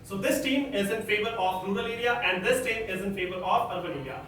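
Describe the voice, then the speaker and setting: A man's voice: sits high, lively intonation, quick pace, fairly bright tone, slight background noise. A man with an Indian English accent, a teacher moderating a student debate in a classroom with firm prompts to his students. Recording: echoey room, picked up from far off.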